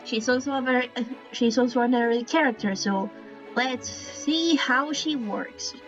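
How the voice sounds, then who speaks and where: A woman's voice: fairly high pitch, normal speed. A woman with a Spanish accent, a native Spanish speaker, explains a drawing style in a casual tutorial, hesitant, with many restarts and apologies. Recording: dull lo-fi sound with faint music.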